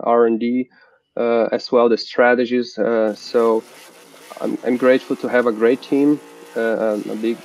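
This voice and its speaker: A man in his forties from Brazil with a Brazilian accent, speaking haltingly as a remote interview guest over a video call. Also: mid-range voice, vocal fry, darkish tone. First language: Portuguese. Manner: halting, many "uh" restarts. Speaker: company founder and guest. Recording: dry dull video-call audio.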